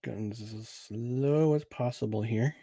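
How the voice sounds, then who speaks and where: A man's voice: medium pitch, slow pace, warm tone, slightly rough, dry recording. A man hosting a casual solo podcast about sports and beer, rambling with chuckles, filler words and restarts. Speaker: podcast host.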